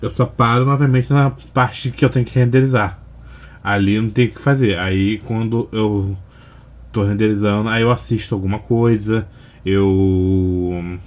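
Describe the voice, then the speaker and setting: Low-voiced young Brazilian man, rambling casually. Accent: Brazilian Portuguese. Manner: rambling, slangy, with frequent pauses. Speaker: reaction video host. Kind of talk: casual, unscripted reaction commentary.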